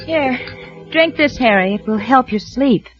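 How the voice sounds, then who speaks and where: An actress playing a nervous, secretive wife who stammers anxiously, in a 1940s radio drama. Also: female voice, a little high, lively intonation, measured pace. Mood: sweet. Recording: lo-fi old radio broadcast with music.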